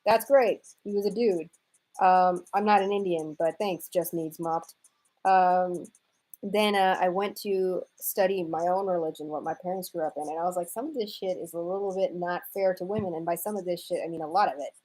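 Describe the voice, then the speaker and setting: Mellow-voiced American woman chatting casually. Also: lowish voice, fairly even intonation, normal speed, rough recording. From United States, raised in Houston, Texas. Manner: wry, irreverent, informal with slang. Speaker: live-stream host. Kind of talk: casual chat, reading and answering viewer comments.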